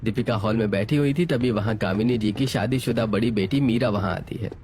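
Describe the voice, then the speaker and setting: A man's voice: on the low side, brisk, darkish tone. A man reading scripted narration for a family moral story, setting out scenes as the narrator.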